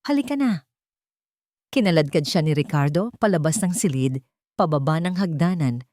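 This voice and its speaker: Low-voiced woman narrating a drama. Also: darkish tone, dry recording, clean studio sound. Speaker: storyteller. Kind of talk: dramatic scripted story narration.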